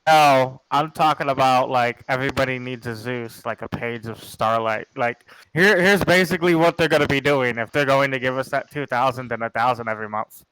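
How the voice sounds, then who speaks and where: A man's voice: mid-range voice, a little fast, hardly any pauses, a bit bright, clean tone, poor audio. A young American man chatting casually in a group podcast, from Chicago. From Chicago, United States. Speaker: podcast participant.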